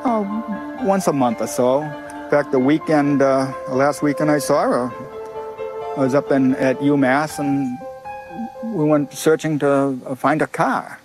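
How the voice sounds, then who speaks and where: A middle-aged man speaks very slowly in an old interview clip, pausing as he recalls things. His pitch is ordinary for a man. Music plays clearly behind him. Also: low-quality recording. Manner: slow, halting recollection. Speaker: interviewee, father.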